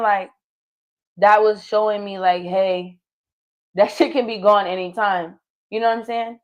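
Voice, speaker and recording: A woman streamer chatting on her live show, talking loose and slangy and cursing freely. her pitch sits in an ordinary middle range for a woman, and she sounds like a singer relaxing between songs. the tone is easygoing and conversational. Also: lo-fi sound. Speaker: streamer host. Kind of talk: casual stream chat.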